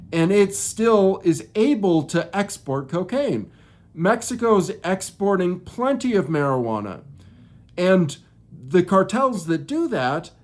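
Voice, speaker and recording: High-pitched, slow-talking man preaching opinions. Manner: halting, preachy, repeats and rephrases phrases. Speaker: video creator. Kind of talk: informal opinion monologue.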